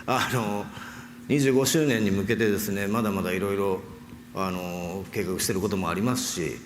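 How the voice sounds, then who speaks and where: A man's voice: fairly low pitch, fairly even intonation, quick pace, slight background noise. A man speaking casually on a panel, rambling with many fillers. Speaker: panel guest.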